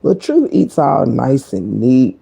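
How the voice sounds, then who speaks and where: A woman with a deep, croaky voice giving sassy, blunt live-stream gossip commentary, full of cursing and kept low so she stays quiet. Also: very animated, slow. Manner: talking low to keep quiet.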